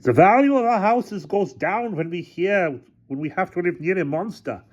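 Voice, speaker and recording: A man voicing indignant village men heckling loudly from a storybook mob. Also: high voice, lively intonation, normal speed, dull audio, pitched above the performer's own voice. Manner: shouting, indignant.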